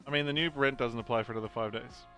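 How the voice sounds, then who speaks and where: A young man giving chatty let's-play commentary, thinking aloud with excitable bursts. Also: medium pitch, very fast, faint music behind. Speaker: gaming channel host. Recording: noisy home recording.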